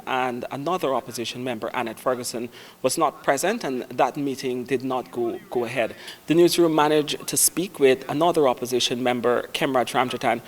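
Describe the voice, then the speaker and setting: A man giving a steady news report on a parliamentary debate. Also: medium pitch.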